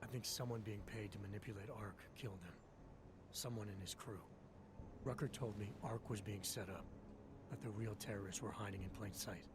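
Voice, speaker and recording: A man plays a stoic field agent in a game cutscene. His voice is low and controlled, with terse, matter-of-fact delivery over loud background noise. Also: fairly even intonation, a little fast, lightly acted. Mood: firm, earnest. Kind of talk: video game cutscene acting. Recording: loud ambient noise behind.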